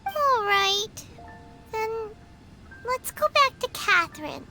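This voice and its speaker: A tiny squeaky fairy sidekick for a game, super high, slow and curious, music behind. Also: female voice, very animated, exaggerated voice. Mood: resigned. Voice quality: squeaky, childlike. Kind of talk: video game voice acting.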